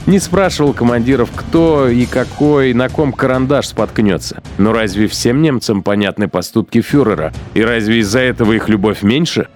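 An actor playing a cold-blooded Nazi punitive commander in a radio play, musing in a sardonic, deliberate, contemptuous way. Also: male voice, mid-range voice, darkish tone, faint music behind, close to an everyday voice. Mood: cold contempt. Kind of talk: dramatized inner monologue in radio play.

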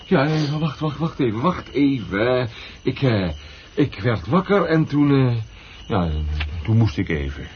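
A man's voice: medium pitch, big pitch swings, unhurried. A man playing a confused, blustering husband who stammers and repeats himself in a radio play. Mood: flustered, confused. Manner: stammering, blustering, broken repetitions. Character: flustered, confused husband insisting he is perfectly fine. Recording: muffled, noisy old radio play recording.

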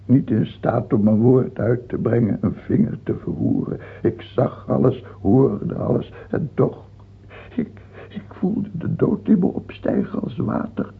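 Dying tyrant king, radio drama, very husky and creaky, fearful, stammering, imperious. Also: medium pitch, very animated, heavily acted. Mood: anguished. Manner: halting and stammering, turning imperious. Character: dying king of France, tyrannical, fearful, doubt-ridden, gout-ridden. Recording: muffled, tinny old radio-play recording.

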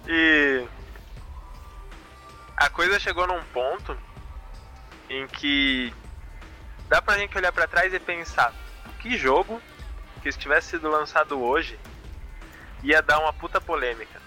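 Bright, sharp-voiced Brazilian man. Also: fairly high pitch, slow, pauses often, soft background music. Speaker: podcast co-host. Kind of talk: casual live show chat.

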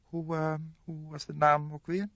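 A man's voice: fairly high pitch, level tone, a little slow, bright, forceful tone, no echo, lo-fi sound, pitched above the performer's own voice. A man voicing a haughty, evasive doctor in his late fifties for an audiobook, growling and hemming nonchalantly. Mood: evasive, indifferent. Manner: nonchalant, hesitant hemming and drawling.

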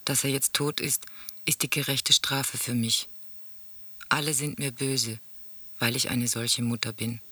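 An actor playing a sombre teller in a radio drama, reciting grim memories and letters in a solemn, measured, husky voice. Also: male voice, mid-range voice, little pitch movement, pushed and bright, dry recording, exaggerated voice. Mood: guilty pleading.

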